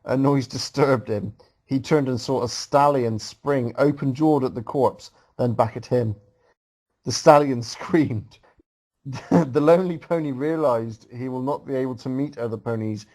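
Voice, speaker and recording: A man's voice: medium pitch, a little mellow, rough recording. A man reading a story aloud to a group as host, reacting casually and often amused as he goes. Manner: amused, breaking into laughter while reading.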